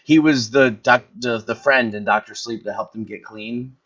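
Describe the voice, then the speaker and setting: A man chats about films on a podcast, rambling and enthusiastic. His voice is bright and forceful, and he keeps stalling to search for names. The recording sounds dull and lo-fi. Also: mid-range voice, moderate pace. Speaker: podcast co-host. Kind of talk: casual chat about movies.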